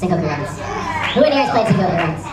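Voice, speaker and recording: A woman's voice: on the low side, sing-song, quick pace, crowd noise. A young woman presenting informally at a fan convention panel, rambling, with giggles and casual swearing. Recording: echoey room, distant mic, audience noise. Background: audience.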